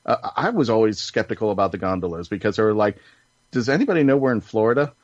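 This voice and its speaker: A man's voice: on the low side, animated, fast talker, no room sound. A man hosting a casual panel podcast, chatting in a jokey storytelling manner. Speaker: podcast host. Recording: dull, lo-fi audio.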